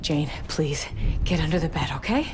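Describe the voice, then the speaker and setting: An actress playing a frightened, protective mother, pleading haltingly and urgently with her small child in a video game. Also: deep voice, expressive. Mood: urgent, tender. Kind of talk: cinematic video game drama acting. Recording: noisy ambience behind.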